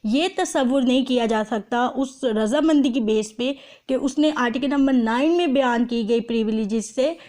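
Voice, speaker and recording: A woman with a Pakistani accent giving an explanatory lecture as a teacher, mixing in English terms and reading clauses slowly and deliberately. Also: fairly high pitch, darkish tone. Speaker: law lecturer. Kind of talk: explanatory lecture with phrase-by-phrase translation.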